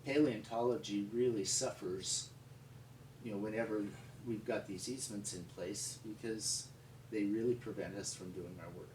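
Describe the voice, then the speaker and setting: An American man telling stories in an unscripted, conversational way, with a rambling, halting delivery. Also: fairly low pitch, steady tone, a little mellow. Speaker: paleontologist and museum founder telling stories. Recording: echoey, distant mic with background noise.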